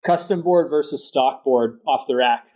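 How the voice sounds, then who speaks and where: A man's voice: mid-range voice, moderate pace. A man interviewing a surfboard shaper, asking short, direct questions one after another. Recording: tinny phone-like line.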